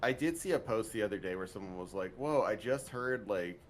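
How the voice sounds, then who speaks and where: A man's voice: lively intonation, brisk. A man chatting casually as a co-commentator on a game, with wry, dry joking. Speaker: co-commentator on a gaming podcast. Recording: clearly noisy, low rumble.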